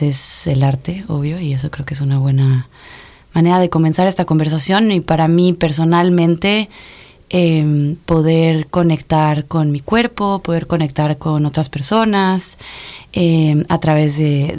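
A woman's voice: low voice, dark tone. Thoughtful Mexico City woman guest. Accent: Mexico City Spanish. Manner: thoughtful, many pauses and restarts. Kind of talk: reflective conversational interview.

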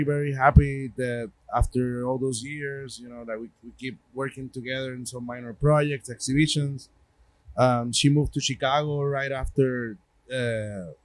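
A man's voice: mid-range voice, no room sound. A man with a Puerto Rican accent, a native Spanish speaker, telling stories casually as an interview guest, often repeating words as he searches for phrasing. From Puerto Rico. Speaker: gallerist guest.

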